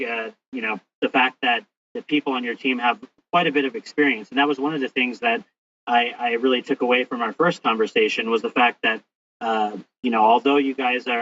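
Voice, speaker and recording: A man's voice: mid-range voice, sharp tone, recorded from a distance. A man hosting a podcast, asking interview questions in a hesitant way with many restarts and fillers. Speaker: podcast host. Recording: hissy lo-fi audio, off-mic.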